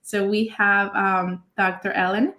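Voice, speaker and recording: A woman from Honduras hosting a live webinar, speaking in a friendly, slightly halting way. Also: medium pitch, flat delivery, bright, forceful tone, echoey room. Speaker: host.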